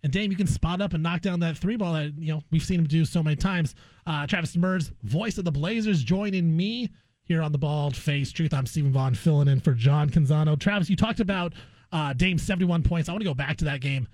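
A man with a slightly high voice hosts a sports radio interview. He talks conversationally and informally, addressing his guest like a buddy. The sound is dry and close, with very little room on it. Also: a little fast, darkish tone, dry recording.

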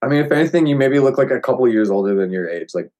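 A man's voice: medium pitch, expressive, rapid-fire, darkish tone. A man in his late twenties chatting casually, rambling and filling his talk with "like" and restarts. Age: 20s. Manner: rambling, heavy use of "like". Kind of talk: casual chat.

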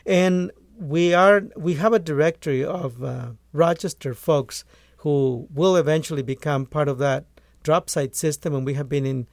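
Gravelly man from Guatemala. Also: fairly high pitch, steady tone, normal speed, few pauses, darkish tone, dry recording, clean studio sound. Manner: earnest, restarts phrases often. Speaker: poultry farmer and author. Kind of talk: conversational interview answers.

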